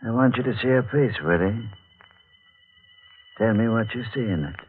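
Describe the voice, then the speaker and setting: An actor playing a somber, fatherly older morgue attendant in a 1940s radio drama, speaking gravely and mysteriously. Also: medium pitch, moderate pace, natural voice. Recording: tinny 1940s radio recording, faint music.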